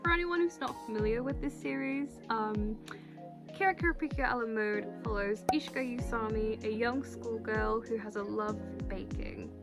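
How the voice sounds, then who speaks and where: A young woman with a bubbly, expressive and slangy manner, chatting to camera as a video creator introducing her vlog. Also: a little high, normal speed. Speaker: cosplay video creator and host. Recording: music playing behind the voice. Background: music.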